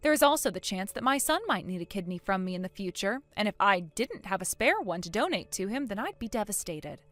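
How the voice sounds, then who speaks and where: A woman's voice: medium pitch, very animated, a little fast, fairly bright tone, dry recording. A woman playing a protective, outspoken mother in a melodramatic audio story, speaking earnestly and with emphasis. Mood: cold, scornful. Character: fiercely protective, outspoken, emotional mother of a young son.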